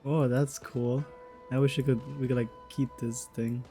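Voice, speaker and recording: A woman's voice: a bass voice, flat delivery, normal speed, over music. A woman giving casual, slangy, offhand reaction commentary as she plays a video game. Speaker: gamer playing through a story quest.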